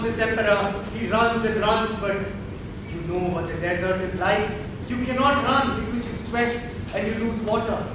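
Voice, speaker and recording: A man playing a stern spiritual master in a stage play, speaking in a measured, theatrical, preachy storytelling way with deliberate pauses. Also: high voice. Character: stern Sufi master, teaching a young prince by parable. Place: indoor theatre stage, distant mic. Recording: echoey theatre stage from far off, noisy.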